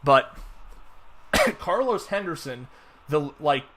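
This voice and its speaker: A man's voice: fairly high pitch, animated, takes it slowly, fairly bright tone. A man hosting a casual fantasy football podcast, rambling with lots of fillers and restarts. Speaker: podcast host. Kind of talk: casual sports podcast chat.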